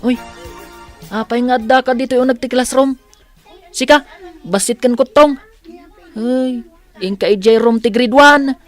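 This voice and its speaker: A woman playing a loud, boastful schoolboy bully in an Ilocano radio drama, jeering with an Ilocano accent. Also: a little high, fairly even intonation, a little slow, pauses often, soft background music, natural voice.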